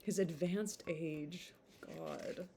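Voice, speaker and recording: A woman reading a classic novel aloud in an informal, expressive way, stumbling over some words and adding chatty asides. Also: low-pitched, drawn-out words, creaky, background noise.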